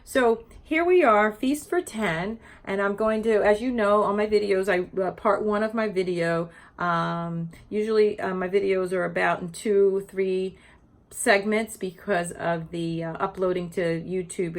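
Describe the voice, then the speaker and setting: A woman's voice: on the low side, fairly even intonation, normal speed. A woman teacher giving an informal, explanatory lesson built around reading a children's picture book aloud. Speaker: teacher presenting educational read-aloud videos for teachers.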